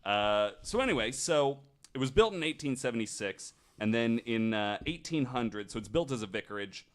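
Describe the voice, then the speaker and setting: A man hosting a podcast, telling a story to friends in a halting, informal, conversational way. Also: medium pitch, expressive. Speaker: podcast host. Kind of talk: casual storytelling among friends.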